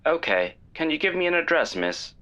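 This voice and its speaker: A polite male hotline operator played by a man in an audio drama. His voice is bright and lively in pitch, with a businesslike manner. The sound is dull and lo-fi. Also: moderate pace. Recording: dull lo-fi phone-line sound.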